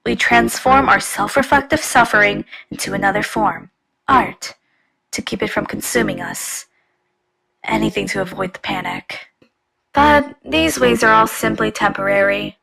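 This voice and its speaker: A woman playing a sarcastic, foul-mouthed, nihilistic robot schoolgirl in a fan dub of a video game, with a brash, gravelly delivery. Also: mid-range voice, fairly bright tone, heavily acted, low-quality recording. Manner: brash, sardonic, turning to bleak monologue.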